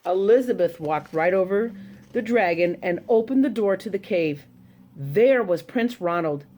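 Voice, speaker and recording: A woman telling a children's story to a congregation, expressive and animated. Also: medium pitch, measured pace. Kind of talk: reading a children's story aloud.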